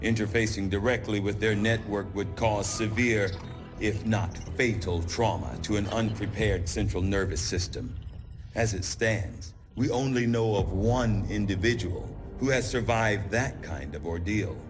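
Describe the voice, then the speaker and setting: An actor playing a formal, analytical scientist in a video game cutscene, speaking precisely and with measure. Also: male voice, fairly low pitch, unhurried, natural voice. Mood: measured, serious. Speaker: chief scientist. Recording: music behind the voice.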